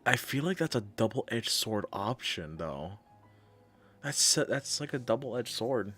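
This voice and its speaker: A man's voice: medium pitch, big pitch swings, takes it slowly, a bit bright, breathy edge, faint music behind. A man giving casual live game commentary as a streamer, muttering reactions and repeating his phrases.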